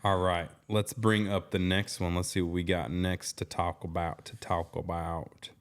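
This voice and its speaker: A man's voice: deep voice, flat delivery, measured pace. A man co-hosting a podcast, chatting in an easygoing way and laughing often. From American South. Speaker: podcast co-host. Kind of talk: casual chat.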